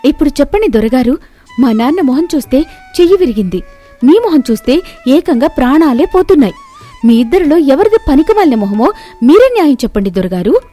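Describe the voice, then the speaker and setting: Casting: fearless young village girl played by a woman, high voice, blunt and challenging, moral tale, music bed. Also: big pitch swings, a little fast, warm tone. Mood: bold, indignant. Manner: direct, challenging. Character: bold, sharp-witted ten-year-old village girl confronting a lord.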